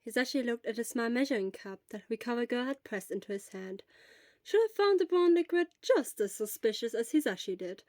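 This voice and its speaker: A woman narrates a story from a script. Her voice sits on the high side, and the recording is dry, with very little room sound. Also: expressive, a little fast, darkish tone, no room sound. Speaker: podfic narrator.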